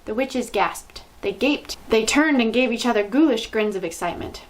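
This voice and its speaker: Female storytime reader, somewhat high-pitched, expressive narration of a children's book. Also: normal speed, low-quality recording.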